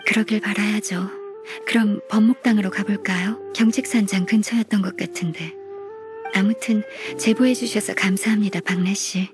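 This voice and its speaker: A woman plays a diligent young female official from a video game. She is gentle, composed and formally polite at an average pitch, with faint music behind her. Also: steady tone, normal speed, lightly acted. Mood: calm, considerate. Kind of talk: video game character acting.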